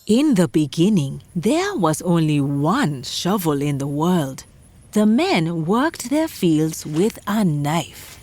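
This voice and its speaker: A woman's voice: on the low side, sing-song, slow pace, a little mellow. A woman hosting a children's folktale podcast, telling a scripted story theatrically and speaking straight to young listeners.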